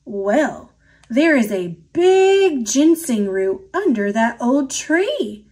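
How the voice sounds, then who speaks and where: A woman's voice: fairly high pitch, very animated, drawn-out words, dark tone, breathy edge, full character voice. A woman voicing a sly male dragon in a picture book, teasing and wheedling with a sweet tongue. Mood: scornful, scheming.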